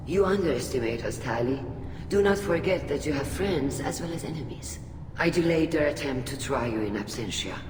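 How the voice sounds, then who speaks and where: A woman playing a dignified, protective older female alien admiral in a video game, formal and measured. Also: extremely low pitch, expressive, a crowd in the background. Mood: reassuring, firm. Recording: echoey hall, clear ambient noise.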